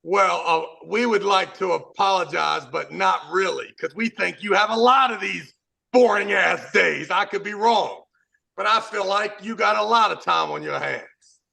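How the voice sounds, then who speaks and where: A man's voice: sits high, a little slow, creaky, bright, forceful tone, rough recording. A man hosting a podcast in a brash, profane, showman-like way. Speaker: podcast host. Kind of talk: casual podcast banter.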